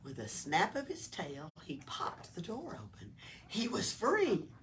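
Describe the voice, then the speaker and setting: A woman reading a children's story aloud with dramatic emphasis, sometimes hushed, recorded at a distance in a library. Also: mid-range voice, huge pitch swings, measured pace. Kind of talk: expressive children's read-aloud. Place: small town library. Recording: distant mic with room noise.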